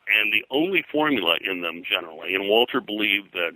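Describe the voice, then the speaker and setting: A man talking informally as an interview guest and historian, rambling and haltingly, often repeating words. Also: lowish voice, expressive, pushed and bright, no room sound, rough recording. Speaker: guest, pop culture historian. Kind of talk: informal interview talk about pulp fiction history.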